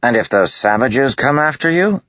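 An impatient army officer, as a man puts him on, barking orders high and loud above the reader's own very deep voice. He is brusque and gruff. The sound is dull and lo-fi. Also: drawn-out words, no echo. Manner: shouting, brusque. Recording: dull, lo-fi dry recording.

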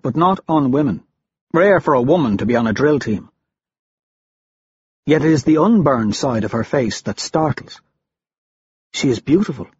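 A male narrator reads first-person fiction at a slow, deliberate pace with a grave, brooding manner. His mid-pitched voice is slightly husky, and the recording is a little dull. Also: no room sound. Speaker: audiobook narrator.

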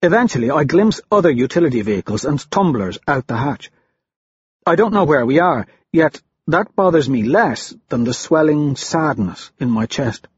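A man's voice: fairly high pitch, expressive, moderate pace, slightly rough, no echo, lo-fi sound. A man reading a dramatic first-person story as an audiobook narrator, grave and brooding in his delivery.

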